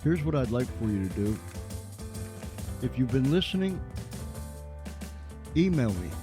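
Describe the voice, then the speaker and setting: A man's voice: medium pitch, sing-song, a little slow, over music. A man hosting a radio show, giving an informal sign-off to listeners.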